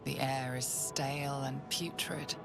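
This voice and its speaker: Ominous female storyteller, low and creaky, hushed, drawn-out delivery, suspenseful video game narration. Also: fairly even intonation. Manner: breathy, suspenseful, deliberate. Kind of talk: second-person dramatic game narration. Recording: loud music under the voice.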